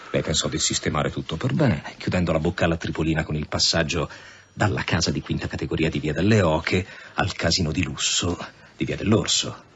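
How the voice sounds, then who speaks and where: A defiant, methodical police inspector in a radio drama. His low voice swings in melody as he presses each point formally. The recording is dull, with clear background noise. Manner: formal, pointed, insistent delivery. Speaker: actor. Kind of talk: Italian radio drama acting.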